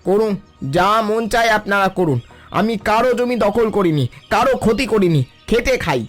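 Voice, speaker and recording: A poor but proud fisherman played by a man in a cartoon drama. He speaks high for a man, earnest and pleading over soft music. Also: a little slow. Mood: wounded defiance. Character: poor, proud, long-suffering fisherman, a father. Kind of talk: scripted cartoon dialogue.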